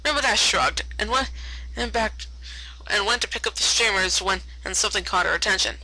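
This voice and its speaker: A woman's voice: mid-range voice, brisk, sharp tone, rough recording. A woman narrating a story aloud as an amateur reader, often stumbling over words and correcting herself. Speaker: amateur fan fiction reader.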